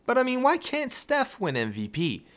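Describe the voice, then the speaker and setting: A tired young man with a raspy voice, hosting a casual sports podcast and rambling through excited rhetorical questions. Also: very high voice, normal speed. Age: young adult. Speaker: podcast host.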